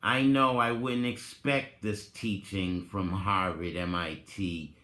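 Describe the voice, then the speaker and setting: A man hosting a dramatic reading and reflective commentary, speaking in a deliberate, emphatic way with pointed emphasis. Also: deep voice, fairly even intonation, sharp tone. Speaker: host giving a reading and commentary. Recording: roomy, echoing sound.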